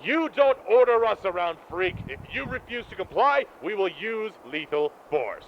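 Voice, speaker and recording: An actor playing a haughty, zealous soldier who gives stiff official orders in an audio drama. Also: male voice, sits high, sing-song, normal speed. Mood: threatening. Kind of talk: post-apocalyptic audio drama. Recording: muffled, faint noisy background.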